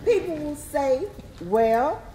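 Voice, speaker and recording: A woman's voice: high-pitched, animated, takes it slowly. A woman performing in a readers theatre piece, speaking in a repetitive oratorical style. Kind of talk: oratorical staged reading with repetition. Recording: echoey room with clear crowd noise.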